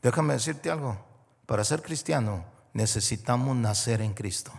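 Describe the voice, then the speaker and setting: A man from Mexico preaching a sermon as a guest pastor, moving between hushed storytelling and loud, rising preaching. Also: mid-range voice, normal speed, gravelly. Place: large church auditorium. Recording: long hall reverb.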